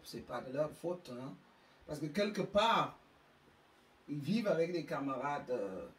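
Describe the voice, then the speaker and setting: A woman's voice: low-pitched, a little mellow, echoey room, recorded from a distance, poor audio. A woman with an African French accent hosting her own channel, delivering an emphatic, moralizing monologue. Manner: slow, emphatic, preachy. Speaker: YouTube channel host.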